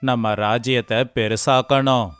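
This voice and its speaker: Man playing a pompous scheming king, crisp voice. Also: medium pitch, steady tone, unhurried, a bit bright, no room sound, faint music behind, close to an everyday voice. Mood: boastful, commanding. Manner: pompous, boastful. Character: proud, scheming, mocking great king of a folktale. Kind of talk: moral story character dialogue.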